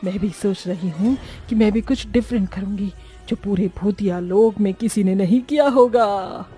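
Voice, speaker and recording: A woman's voice: mid-range voice, normal speed, dark tone, husky, faint music behind. A woman voicing a vain, fussy young cartoon witch who chats in a theatrical, dismissive way. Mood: dismissive, musing. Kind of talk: Hindi cartoon dubbing.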